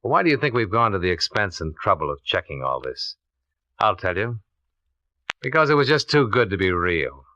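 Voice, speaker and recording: An actor playing a cool, relentless American insurance investigator, blunt and probing, in a 1950s radio drama. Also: male voice, fairly low pitch, big pitch swings, normal speed, pushed and bright, slight background noise. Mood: stern, accusing. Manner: clipped, probing, blunt interrogation. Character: hard-boiled, methodical male insurance investigator, relentless and cool. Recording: dull vintage radio recording.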